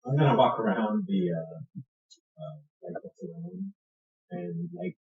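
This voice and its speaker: A man's voice: on the high side, big pitch swings, measured pace, gravelly. A man taking part as a player in a casual tabletop roleplaying session. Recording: roomy, dull lo-fi sound.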